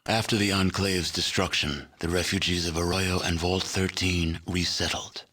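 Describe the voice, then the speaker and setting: A man playing a grave, world-weary narrator of post-apocalyptic history in a scripted video game epilogue, with measured, solemn delivery. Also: low voice, fairly even intonation, sharp tone, a little hiss or hum.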